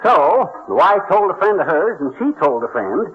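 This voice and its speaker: A man's voice: fairly high pitch, big pitch swings, normal speed. A man playing a folksy, blustery know-it-all husband in an old radio comedy, explaining things in homespun, ungrammatical talk. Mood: storytelling. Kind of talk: 1940s-50s radio comedy acting. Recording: dull old radio recording, faint noise.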